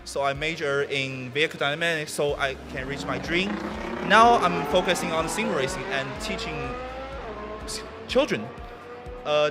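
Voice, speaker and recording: Chinese man speaking halting English in a hall. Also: on the high side, bright, forceful tone. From China. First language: Mandarin. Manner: halting non-native English with restarts. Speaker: sim racing competitor. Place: event stage, microphone in a hall.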